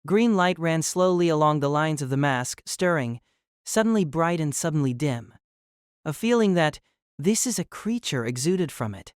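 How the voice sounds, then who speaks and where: A man's voice: fairly high pitch, animated, normal speed, clean tone, no room sound, studio quality. A man reading scripted narration of a fantasy story.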